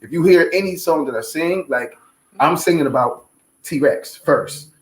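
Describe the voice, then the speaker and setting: A man's voice: a little high, unhurried. A man giving a casual, conversational testimony as a guest, often checking that the listener follows him. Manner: peppers talk with "you know what I'm saying". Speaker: guest from a stage play's team. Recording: echoey room, faint background noise.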